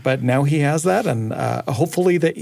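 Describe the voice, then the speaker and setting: A man's voice: on the high side, a little fast, gravelly, darkish tone. An American man in his forties chatting casually as a radio guest, recalling personal anecdotes. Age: 40s. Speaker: radio show producer, guest.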